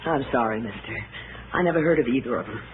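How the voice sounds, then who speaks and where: Feisty young American woman, defiant and snappy, very animated, on a tinny vintage recording. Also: rumbling low, fast. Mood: indignant. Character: feisty, guarded young woman, indignant ex-pickpocket. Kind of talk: 1960s American radio drama acting. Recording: narrow, noisy old radio recording.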